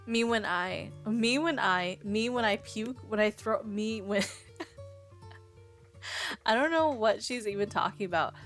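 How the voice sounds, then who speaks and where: A woman's voice: mid-range voice, slow, pauses often, a bit bright. A young woman with a Midwestern American accent, chatting casually with her stream viewers, giggling and rambling as she reads chat aloud. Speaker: Twitch streamer. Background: faint music.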